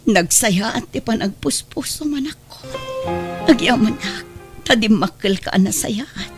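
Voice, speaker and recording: A woman's voice: a little high, animated, unhurried, music in the background. A tender, long-wronged mother. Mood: tender, grateful. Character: long-wronged mother, forgiving and tender toward her son. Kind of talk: Ilocano radio drama acting.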